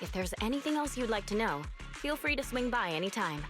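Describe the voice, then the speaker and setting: Lively-toned, polite female receptionist. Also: fairly high pitch, a little fast, over music. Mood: polite, instructive. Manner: courteous, clearly instructive. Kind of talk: scripted game dialogue, explaining rules.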